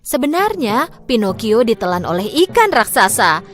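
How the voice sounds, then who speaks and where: A woman narrating a scripted fairy tale for children in an expressive, clearly phrased way. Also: a little high, normal speed, soft background music. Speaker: storyteller.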